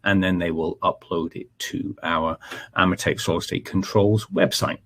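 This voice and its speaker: A man's voice: sits low, fairly even intonation, normal speed, a bit bright, slightly rough. A middle-aged Scottish man with a Scottish accent hosting a live technical webinar, talking conversationally with many fillers and restarts. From Scotland. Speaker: webinar presenter, technical manager.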